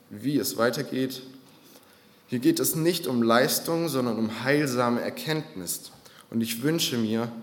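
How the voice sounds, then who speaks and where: Man preaching real slow and earnest, echoey hall sound. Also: medium pitch. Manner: earnest, exhorting. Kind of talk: sermon.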